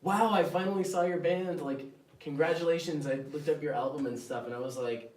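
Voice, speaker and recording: A man's voice: on the high side, fairly quick. A man in his early twenties with an American accent, a band member chatting casually on a podcast and acting out quotes as he tells stories. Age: 20s. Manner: animated storyteller, acts out quotes. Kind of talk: casual chat. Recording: roomy, distant mic.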